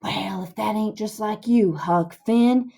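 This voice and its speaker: A woman's voice: medium pitch, takes it slowly, creaky, warm tone. A woman voicing a bossy, grandiose boy schemer in a storybook reading, lecturing scornfully in rustic dialect.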